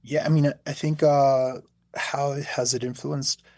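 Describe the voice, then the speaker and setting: A man from Peru answering interview questions calmly and reflectively, often repeating words as he thinks. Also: mid-range voice, unhurried, no room sound. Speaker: guest, healing arts teacher.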